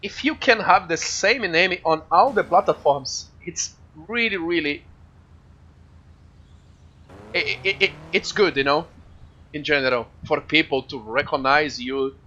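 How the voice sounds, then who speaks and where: A man with a Brazilian Portuguese accent, a game streamer chatting casually and excitedly with viewers, swearing freely. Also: a little high, measured pace, highs cut off.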